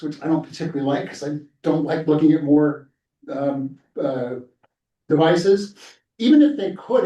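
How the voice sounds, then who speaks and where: A man's voice: fairly high pitch, dark tone, highs cut off. Earnest older man giving public comment. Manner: earnest and rambling, with frequent fillers. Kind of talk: public comment at a board meeting. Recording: echoey meeting room, mic far off.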